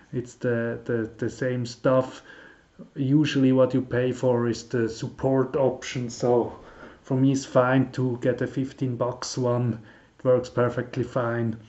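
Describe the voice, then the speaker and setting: Man, mid-pitched, English, informal how-to host with lots of ums, on a dull lo-fi recording. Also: warm tone. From Switzerland. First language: German. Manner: halting and informal, many fillers and restarts, non-native phrasing. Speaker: YouTube car tutorial host. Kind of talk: casual tutorial talk to camera.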